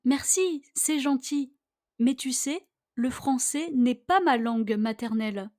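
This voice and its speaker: A woman reading a scripted language-lesson dialogue with careful, deliberate phrasing, for listeners to repeat. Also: high-pitched, a little mellow. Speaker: language teacher.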